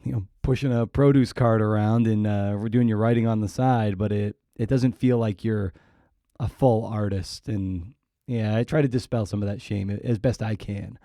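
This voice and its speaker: A man with a very mellow, dark voice hosts a conversational interview. He thinks aloud in wordy, halting stretches, and the sound is very dry with no room echo. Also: lowish voice, steady tone. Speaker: podcast host, writer.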